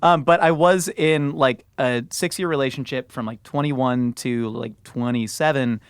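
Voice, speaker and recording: A man in his thirties hosts a casual podcast chat, telling stories in an animated way and laughing often. His pitch sits in the middle range. The mic sound is close and dry. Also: smooth, dry recording. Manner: animated storyteller, laughs often, drawn-out words. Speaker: podcast host.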